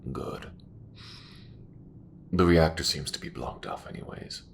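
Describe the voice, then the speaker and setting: A man playing a proud, bitter old imperial commander near death in a sci-fi audio drama, with a grave, grandiose, measured delivery. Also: in a whisper, heavily acted. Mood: resigned, weary. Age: older. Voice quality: very deep, gravelly.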